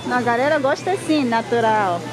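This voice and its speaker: A woman's voice: a little high, fairly quick, music in the background. A Japanese woman with a Japanese accent, chatting playfully and teasing while she films a vlog in a supermarket. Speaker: vlogger and Portuguese teacher. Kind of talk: casual vlog chat while filming. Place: large indoor supermarket. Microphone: handheld phone camera. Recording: noisy supermarket phone recording.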